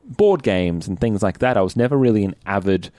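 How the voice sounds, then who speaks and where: A young Australian man hosting a casual podcast in a low, very expressive voice, recorded close and dry. He rambles a little and often doubles back to correct himself. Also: brisk, dry recording. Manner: animated, rambling, self-correcting. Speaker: podcast host.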